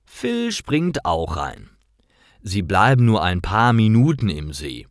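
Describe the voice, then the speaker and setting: A man narrating simple scripted stories for language learners in a careful, expressive way. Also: lowish voice, takes it slowly, dry recording, clean studio sound. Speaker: storyteller for German learners.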